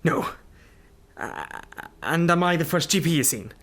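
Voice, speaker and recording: A man playing a bumbling, nervous GP, awkwardly over-reassuring, in a dark comedy radio play. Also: on the high side, lively intonation, measured pace. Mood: flustered. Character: flustered, bumbling, nervous Irish GP.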